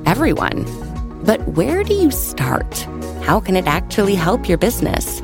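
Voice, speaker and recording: A woman's voice: medium pitch, big pitch swings, normal speed, creaky. A woman reading a polished, upbeat scripted commercial over music. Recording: music bed behind voice.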